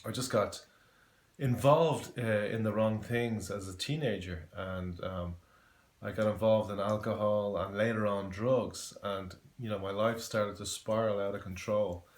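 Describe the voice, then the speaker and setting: A man in his forties from Dublin with a Dublin Irish accent, giving an earnest personal faith testimony, halting with many fillers and restarts. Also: fairly low pitch. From Ireland. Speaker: testimony giver. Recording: echoey room.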